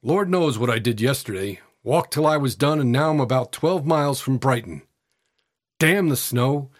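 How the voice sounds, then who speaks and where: A man voicing a weary gentleman tramp in a story, bitter and resigned, speaking in an educated, understated way. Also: mid-range voice, animated, moderate pace, no echo, studio quality, natural voice. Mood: grim, bitter.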